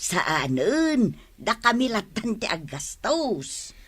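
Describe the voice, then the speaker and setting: A woman's voice: mid-range voice, sing-song, slow pace, husky, no room sound, exaggerated voice. An actress with an Ilocano accent playing a good-natured middle-aged village wife and mother in a radio comedy drama. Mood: content, friendly.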